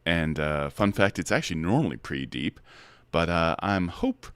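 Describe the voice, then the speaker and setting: A man hosting a casual recap livestream, hoarse from a head cold, his voice gravelly and bassy, chatty with many fillers and restarts. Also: lively intonation, moderate pace, fairly bright tone, no echo. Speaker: host of a recap stream.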